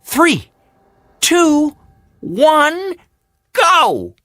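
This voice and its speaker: A storyteller voicing a small, boastful, playful male hummingbird in a children's fairy tale, with an excitable, teasing, sing-song delivery. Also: high-pitched, drawn-out words, dry recording, voice pushed up, full character voice. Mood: excited, challenging.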